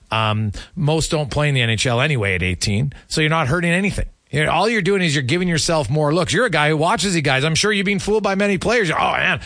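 A man's voice: on the high side, a bit bright, no echo. A man hosts a sports talk show in a conversational interview style. His phrasing is opinionated and emphatic, and his voice sounds dry and close, with no room around it. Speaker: sports radio host.

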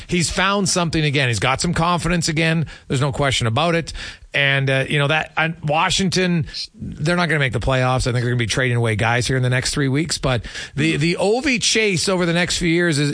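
A man hosting a sports talk show, giving unscripted analysis and statistics in a somewhat halting way. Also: fairly high pitch, fairly bright tone, no room sound. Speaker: sports radio host.

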